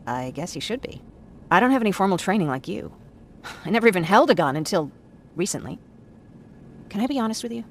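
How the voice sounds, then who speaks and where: A woman's voice: medium pitch, big pitch swings, fairly quick, pauses often. An actress playing an earnest, talkative young woman who survived a war, in a video game. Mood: candid, determined. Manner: candid, chatty. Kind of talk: naturalistic video game acting.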